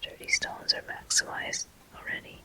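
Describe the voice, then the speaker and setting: A person whispering gentle, unhurried ASMR commentary as a video game walkthrough host. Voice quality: soft, breathy whisper.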